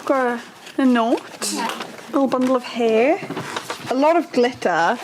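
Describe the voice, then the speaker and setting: Playful woman, high voice, giggly chat. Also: measured pace, noisy background. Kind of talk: casual chat.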